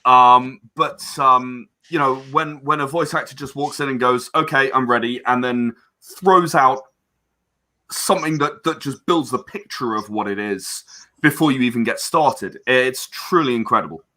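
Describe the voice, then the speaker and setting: A man's voice: medium pitch, normal speed, sharp tone. A man speaking as an interview guest about his own creative project, enthusiastic, effusive and discursive in his explanations.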